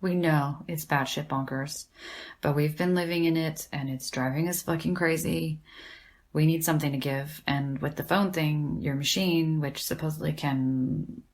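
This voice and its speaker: An actress playing a blunt, sardonic woman academic in an audio drama, with a dry, deadpan, sweary delivery. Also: deep voice, normal speed. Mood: exasperated.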